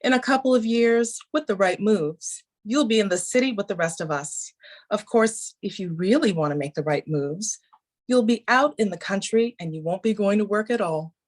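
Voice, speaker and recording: A woman acts a knowing office manager in her twenties. Her mid-pitched voice is brisk and businesslike, giving instructions in an informal drama table read. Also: natural voice.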